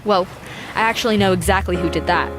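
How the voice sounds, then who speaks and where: A woman's voice: mid-range voice, fast talker, over music, close to an everyday voice, rough recording. A woman playing a chatty, curious space traveler in a sci-fi comedy audio drama, hesitant and given to theatrical exclamations. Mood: conspiratorial.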